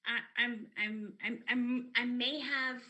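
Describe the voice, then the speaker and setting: A woman playing an eager, pushy shop clerk with an annoying high-pitched voice who rambles and fumbles. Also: fairly even intonation, slow pace, bright, forceful tone. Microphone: video call.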